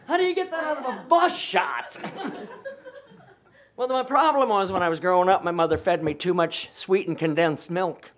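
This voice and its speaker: An older man with a booming, commanding voice preaches to a congregation. He tells stories warmly and keeps joking with the people, laughing often as he goes. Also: a little hiss or hum. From Wisconsin, USA. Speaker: pastor. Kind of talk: humorous sermon. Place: church hall with congregation.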